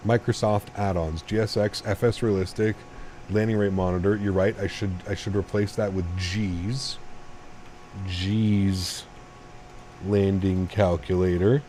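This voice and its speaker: Guy with a super deep voice on a flight sim stream, explains himself to chat at length, stumbles and restarts a lot, a little background hum. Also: steady tone, a little slow, dark tone. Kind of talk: casual live-stream chat.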